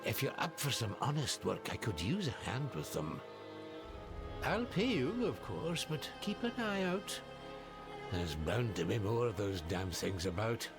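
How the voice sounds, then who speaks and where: Gravelly, grumbling old farmer. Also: male voice, fairly low pitch, big pitch swings, unhurried. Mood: weary, gruff. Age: older. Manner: gruff, grumbling, blunt. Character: gruff, grumbling old farmer of a besieged village. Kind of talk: dramatic video game acting. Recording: music behind.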